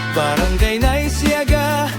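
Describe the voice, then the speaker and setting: A woman's voice: sits high, sing-song, slow pace. A woman singing a cheerful theme song. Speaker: singer. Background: upbeat instrumental music.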